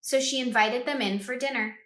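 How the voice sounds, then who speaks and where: Bright-voiced woman reading a storybook aloud. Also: on the high side, moderate pace. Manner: expressive, asks listeners questions. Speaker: read-aloud host for children. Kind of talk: children's storybook read-aloud. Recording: roomy echo.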